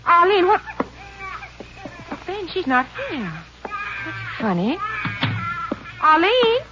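An actress playing a calm, reassuring ranch wife in a 1950s radio drama. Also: female voice, very high-pitched, extremely slow, over loud music, full character voice. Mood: alarmed. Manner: homely, reassuring, lively intonation. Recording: lo-fi old radio transcription with hiss.

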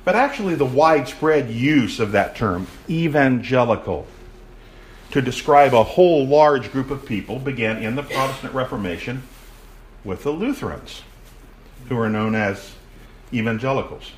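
A man's voice: mid-range voice, animated, moderate pace, a little hiss or hum, poor audio. A man giving a lecture on church history to an audience in a room. He projects his voice and puts questions to the listeners. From raised in a Baptist family.

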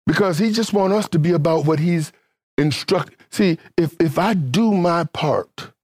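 A male preacher with a notably high voice, delivering a sermon in fervent waves that climb and then drop back down. His talk stays casual and conversational, and the sound is close and dry with no room echo. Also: darkish tone. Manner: fervent, rising and falling preaching cadence.

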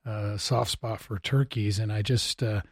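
A man's voice: on the low side, fairly even intonation, a little slow, husky, no echo, clean studio sound. An American man hosting a casual interview, with an easygoing, folksy way of asking questions. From Missouri, United States. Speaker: interviewer, host.

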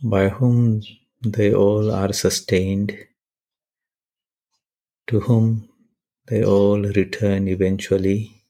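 A man leading a guided meditation and prayer in measured, deliberate short phrases with pauses between them. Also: on the low side, level tone, dark tone.

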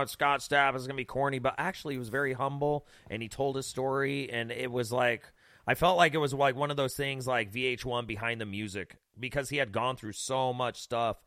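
A man's voice: mid-range voice, pushed and bright, no room sound. A man co-hosting a podcast, telling music anecdotes in a slangy, conversational way. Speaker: podcast co-host with past interviewing experience. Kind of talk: casual banter and music anecdotes.

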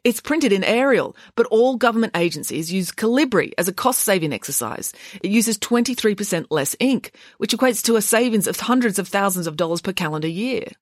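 Storybook role: woman playing a sharp-witted, unflappable girl detective who explains bluntly, with animated pitch. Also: fairly quick, no room sound, clean studio sound, lightly acted. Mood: assured, matter-of-fact. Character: clever, blunt, self-assured schoolgirl detective.

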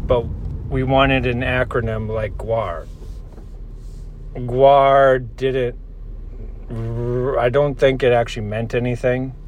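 A man of about forty talks slowly in a halting, reflective way, as if thinking aloud. It is a casual solo monologue recorded in a car, with clear road and engine noise around the voice. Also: medium pitch, fairly even intonation. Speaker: vlogger, musician. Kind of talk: casual rambling monologue while driving. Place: inside a moving car.

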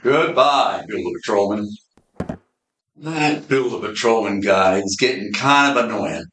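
An amateur actor playing a blunt, offhand supernatural detective in a community radio play, recorded in an echoey room. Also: male voice, lowish voice, lively intonation, a little slow, a bit bright, slight background noise. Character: gruff hard-boiled male supernatural detective.

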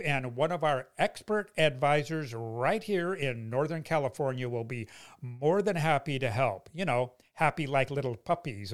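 A middle-aged man with an American accent hosting a podcast, explaining products in a conversational, folksy, salesy way. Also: mid-range voice. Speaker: podcast host, company founder.

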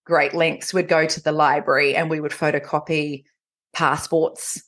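A woman in her forties with a New Zealand accent, chatting as a podcast guest and telling personal stories in an animated, conversational way. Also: deep voice, moderate pace. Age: 40s. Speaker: podcast guest, recovery coach.

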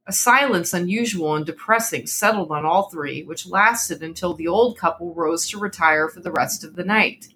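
A woman with a bright, slightly low voice narrates in a dramatic reading style. She delivers scripted horror fiction as a storyteller would, line by line to the listener. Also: moderate pace, few pauses, slight background noise. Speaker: podcast host reading a story aloud.

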